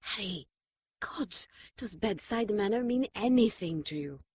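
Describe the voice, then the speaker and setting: A woman's voice: mid-range voice, animated, a little slow, darkish tone, husky. A woman playing a caring, earnest nun in an audio drama, soothing and insistent. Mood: weary exasperation. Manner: soothing, earnest, exasperated at times.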